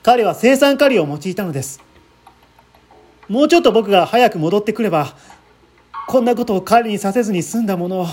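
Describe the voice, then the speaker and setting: A man's voice: high voice, expressive, brisk, long pauses, a little mellow, slightly rough. A man reading the part of an earnest, anxious man who politely recounts a friend's death in a dramatic radio-story style. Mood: agitated, regretful.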